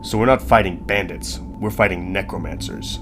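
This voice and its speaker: A man's voice: low voice, normal speed, music in the background, lightly acted. An actor playing a practical young male adventurer in a fantasy audio drama, casual and direct. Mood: serious.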